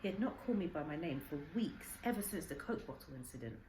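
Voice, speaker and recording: Low-voiced, expressive woman storyteller. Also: quick pace. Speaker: teacher reading a story aloud. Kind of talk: expressive children's storybook narration. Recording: echoey room, faint background noise.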